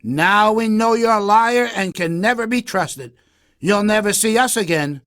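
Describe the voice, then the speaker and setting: A man's voice: high-pitched, measured pace, a bit bright, dry recording, voice pushed up. A man voicing angry, stern villagers in a storybook, scolding with emphatic reproach.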